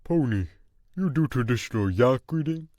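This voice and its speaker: A man's voice: medium pitch, lively intonation, moderate pace, mellow, no room sound, studio quality, lightly acted. A man voicing a proud, touchy male yak who speaks blunt broken English in a fan audio drama. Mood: suspicious.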